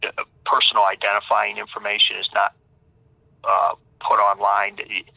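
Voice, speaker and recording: A man's voice: lowish voice, monotone, moderate pace, vocal fry, bright, forceful tone, dry recording. A man leaving an informal voicemail as an attorney, stammering and repeating words, recorded over a telephone line. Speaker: public defender attorney.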